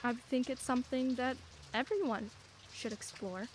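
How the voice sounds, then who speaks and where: A woman's voice: high voice, moderate pace, a little hiss or hum, natural voice. A woman playing a dry-humored, guarded single mother of a teenage daughter in a radio play. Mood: wry. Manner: dry, wry, quietly guarded.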